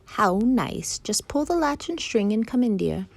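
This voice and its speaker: A frail, kindly storybook granny , as a woman narrator puts her on. Her old voice is weak and pitched up. She talks gently and kindly. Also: very animated, a little slow, creaky, exaggerated voice. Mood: frail, welcoming.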